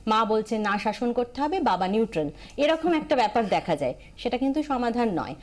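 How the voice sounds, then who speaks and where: A woman's voice: fairly high pitch, sing-song, a little fast, darkish tone. A woman with an Indian Bengali accent giving explanatory advice as a TV expert guest, emphatic, repeating key phrases and dropping in English terms.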